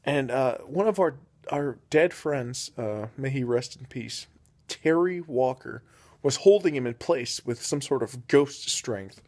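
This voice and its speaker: A young man telling an absurd comic story off the cuff, rambling and deadpan with many fillers. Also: mid-range voice, a little slow. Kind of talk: improvised comedic storytelling.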